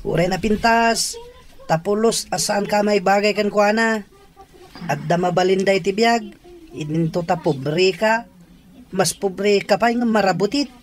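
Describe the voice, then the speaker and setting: A woman's voice: medium pitch, unhurried, close to an everyday voice. A woman playing a blunt young student and love rival in a radio drama, with an Ilocano accent.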